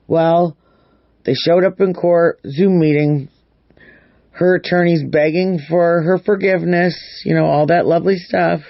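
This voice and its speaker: A man's voice: a little high, steady tone, measured pace, gravelly, no echo. A man hosting a true crime vlog, giving casual, opinionated news commentary and repeating words for emphasis. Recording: dull, muffled lo-fi audio.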